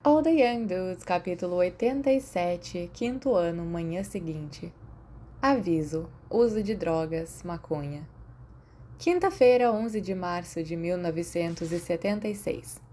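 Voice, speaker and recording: Lower-voiced Brazilian woman narrating, noisy recording. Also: unhurried, dark tone. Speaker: audiobook-style narrator of fan fiction. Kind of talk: expressive scripted narration.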